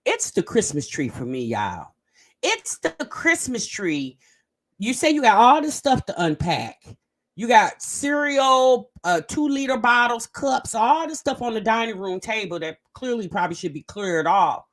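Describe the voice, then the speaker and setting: A woman's voice: fairly high pitch, unhurried. Chatty woman hosting reaction commentary. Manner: animated, drags words out for emphasis, talks straight to viewers. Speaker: host of a reaction commentary channel. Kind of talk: casual reaction commentary to viewers.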